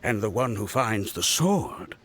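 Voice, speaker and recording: An actor playing a boastful male archaeologist in a game, lecturing and quick to take offense. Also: lowish voice, sing-song, fairly bright tone, full character voice. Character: pompous self-styled male archaeologist. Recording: game cutscene with faint score.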